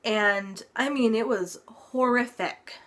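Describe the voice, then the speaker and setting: A woman's voice: a little high, slow pace, fairly bright tone. A young woman giving a chatty health update vlog, still congested from a cold, with plenty of ums. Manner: chatty, lots of ums, occasional laughs. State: congested, recovering from illness. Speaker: vlogger.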